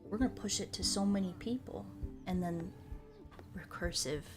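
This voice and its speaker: A woman's voice: on the low side, lively intonation, moderate pace. An American woman chatting casually on a livestream while she plays a puzzle game, in a playful, slangy, rambling way. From United States. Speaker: streamer. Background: music.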